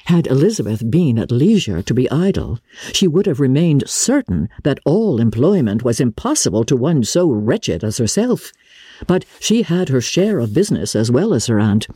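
A woman narrating classic literature in a theatrical, formal and measured storytelling manner, with a husky voice. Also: a bass voice, mellow, no room sound, clean studio sound. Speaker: audiobook narrator. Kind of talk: dramatic scripted reading of classic literature.

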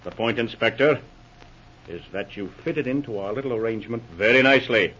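An actor playing a smug, menacing smuggling boss, mock-polite and taunting, in a 1940s radio drama. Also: male voice, medium pitch, a little fast, a bit bright, breathy edge. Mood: smug menace. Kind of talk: 1940s radio villain acting. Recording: muffled old radio recording.

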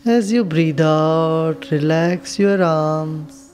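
A woman leading a guided meditation with soothing, unhurried instructions and long pauses. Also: sits low, darkish tone, soft background music.

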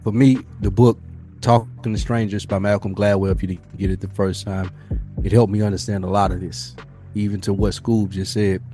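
A man's voice: lowish voice, flat delivery, normal speed, warm tone, soft background music. A man with an American accent in casual podcast discussion as co-host, slangy and repeating his phrases.

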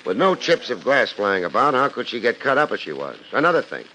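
Wry private eye, lively delivery. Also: male voice, mid-range voice, a little fast. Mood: brisk, insistent. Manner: dry, wry, deadpan. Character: laid-back, wry male private detective. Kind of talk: 1950s radio detective acting and narration. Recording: noisy vintage radio recording.

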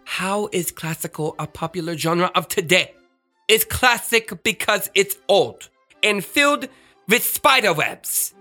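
An actor playing a flamboyant, fussy, excitable radio star with emphatic, theatrical delivery in a children's audio drama. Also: medium pitch, unhurried, faint music behind. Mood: exasperated, bossy.

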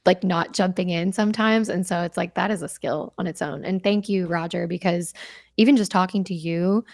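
Young adult woman, podcast guest; casual and earnest, laughs easily, slightly low voice, dead-dry room. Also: normal speed, creaky, a little mellow, no echo. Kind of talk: casual interview chat.

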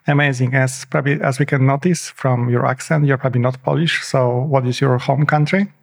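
A man hosting a podcast interview, asking formal, slightly halting questions. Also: mid-range voice, steady tone, creaky. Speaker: podcast host.

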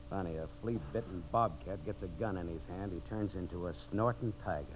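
An actor playing a tough, glib, smooth-talking timber boss in a 1950s radio drama. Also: male voice, low-pitched, moderate pace, noisy background, natural voice. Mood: defiant. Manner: glib, brash. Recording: muffled old radio recording.

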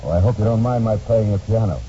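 Deep, genial blind major, acted by a man. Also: fast talker, close to an everyday voice. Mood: friendly, polite. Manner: polite, gentle, hesitant. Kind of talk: 1940s radio drama acting. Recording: muffled, hissy old radio broadcast.

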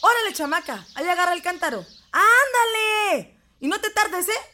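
A woman plays a Mexican village mother in a radio drama, her voice high and bossy. She is impatient and harsh, with clear farmyard noise and hens around her. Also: animated, normal speed, pushed and bright, full character voice. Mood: bossy, exasperated. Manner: bossy, exasperated.

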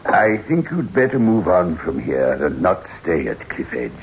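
An actor playing a superstitious, courteous old widower in a 1960s radio horror drama, speaking gravely and hesitantly. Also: male voice, low voice, very animated, a little slow, full character voice. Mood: ominous warning. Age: older. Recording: dull, noisy old radio recording.

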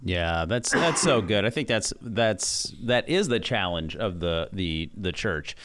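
A man co-hosting a conversation, responding in a measured, affirming way. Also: low-pitched, expressive, noisy background. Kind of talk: conversational talk.

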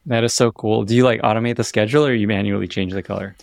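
A man's voice: on the low side, steady tone, racing, vocal fry, dry recording, studio quality. A man hosting an interview podcast, upbeat and enthusiastic as he asks questions and wraps up. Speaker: podcast host.

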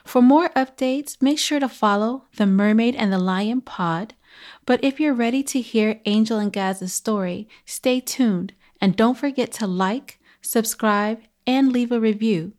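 A woman's voice: a little high, unhurried, darkish tone. A woman hosting a podcast, reading a scripted show introduction and sponsor message to listeners. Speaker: podcast host.

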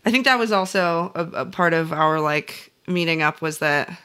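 A woman's voice: fairly low pitch, normal speed, pushed and bright, clear, resonant tone. A woman with an American accent chatting casually as a podcast host. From central Illinois, United States. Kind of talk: casual chat.

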